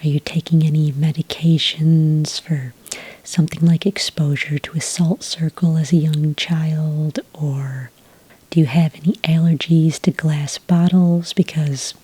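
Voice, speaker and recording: A breathy, whispering witch played by a woman works the enrollment desk in an ASMR roleplay. Her voice is low and very soft-edged, with a dark, mellow tone. She is patient, gentle and helpful, never rushing her questions. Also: steady tone, heavily acted. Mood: gently informative. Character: friendly, patient adult witch working as academy enrollment clerk.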